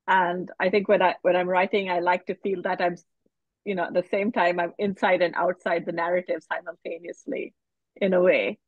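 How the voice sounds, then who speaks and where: A woman novelist on a video call, reflecting slowly and often restarting her phrases. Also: fairly low pitch, level tone, dry recording. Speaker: novelist panelist. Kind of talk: casual panel discussion. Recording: dull video call audio.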